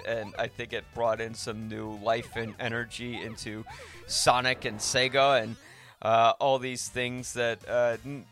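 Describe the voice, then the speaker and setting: A man hosting a podcast, casually discussing films in a rambling, halting way that often interrupts itself. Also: mid-range voice, fairly bright tone. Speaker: podcast host.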